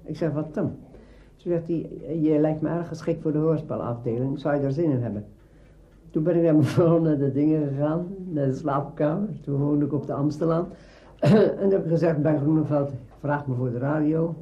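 A man's voice: fairly high pitch, moderate pace, dull audio. A man in his eighties with a Netherlands Dutch accent, a veteran radio actor telling juicy, theatrical anecdotes in conversation. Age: 80s. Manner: juicy, theatrical storytelling with acted-out dialogue. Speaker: veteran radio drama actor being interviewed.

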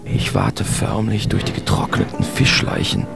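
An actor playing a German artist who tells of his absurd nightmare in a wry, uneasy way, as theatrical first-person radio drama narration with a gravelly voice. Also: fairly low pitch, little pitch movement, a little slow. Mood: disgusted wonder. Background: music behind the voice.

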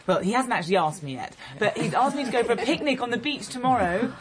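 An actress playing an excitable, teasing young woman not yet twenty in a radio drama, coy and eager. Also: fairly high pitch, quick pace, close to an everyday voice. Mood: excited, proud. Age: young adult. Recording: clearly noisy recording.

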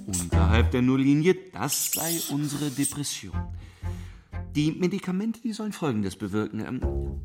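An actor in a radio drama playing an awkward psychiatrist who explains things didactically and hesitantly. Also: male voice, medium pitch, sing-song, moderate pace, over music, close to an everyday voice. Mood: awkward, explaining. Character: awkward, rambling male psychiatrist explaining to a patient.

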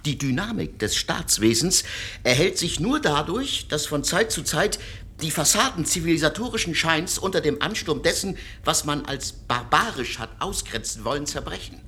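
A gravelly, doctrinaire law professor. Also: male voice, on the high side, sing-song, moderate pace, sharp tone, slight background noise, heavily acted. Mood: lecturing. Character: cold, lecturing man, a professor of state law. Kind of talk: radio drama.

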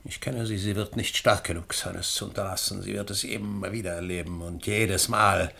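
A man's voice: sits low, steady tone, normal speed, creaky, a little hiss or hum, heavily acted. A man playing a guilt-ridden, grieving middle-aged academic in a German radio drama, intense and desperate in manner. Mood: desperate, anguished. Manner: intense, swings from outbursts to hushed confession.

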